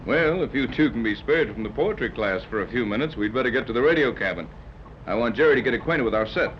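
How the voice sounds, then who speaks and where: An actor playing a wry, skeptical yacht captain who gives clipped orders, in 1930s radio adventure style. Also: male voice, medium pitch, animated, quick pace, natural voice. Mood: brisk, commanding. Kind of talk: 1930s radio serial acting. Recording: muffled, hissy 1930s radio transcription.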